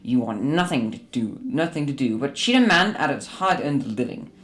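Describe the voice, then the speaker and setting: An actor playing a grumpy, suspicious old miser in a radio drama, with a blustering, theatrical delivery. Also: male voice, medium pitch, moderate pace, natural voice. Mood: indignant. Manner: excitable, indignant, blustering.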